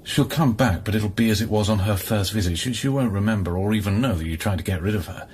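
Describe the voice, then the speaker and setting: A man's voice: lowish voice, quick pace, darkish tone, a little hiss or hum, lightly acted. An actor playing a wry, weary male scientist on a space station in an audio drama, speaking in a probing, cryptic way. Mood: cryptic, defensive.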